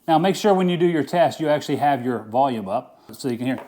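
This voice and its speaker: A man's voice: medium pitch, animated, fast talker, warm tone, a little hiss or hum. A middle-aged man hosting a casual outdoor gear review in an informal, enthusiastic way. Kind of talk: casual product review vlog.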